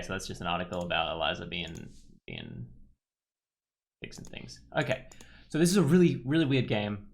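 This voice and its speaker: Creaky-voiced man doing casual game commentary. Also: lowish voice. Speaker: gaming YouTuber. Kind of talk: casual let's play commentary with read-aloud game text.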